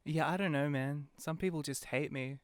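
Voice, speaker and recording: A man's voice: fairly high pitch, normal speed. A man chatting casually and informally as a guest on a podcast. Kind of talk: casual chat.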